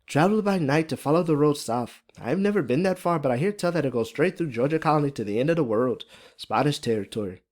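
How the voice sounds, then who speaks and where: A man performs a kindly Irish farm wife from a storybook. She talks in hearty country dialect, pitched well above a man's usual talk. Her temper is brisk, warm and wry. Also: expressive, natural voice. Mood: brisk, advising. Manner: folksy, hearty dialect speech.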